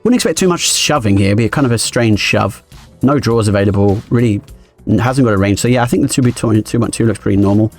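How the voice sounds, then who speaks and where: A man streaming his poker games live, thinking aloud quickly and analytically as he explains each decision. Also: fairly low pitch, faint music behind.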